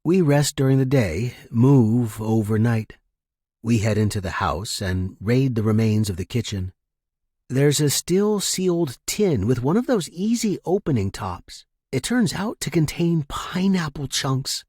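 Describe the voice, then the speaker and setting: A man reading first-person fiction as a narrator, in a measured, deliberate and dramatic way. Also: medium pitch, expressive, darkish tone, husky, no room sound, clean studio sound. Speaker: story narrator.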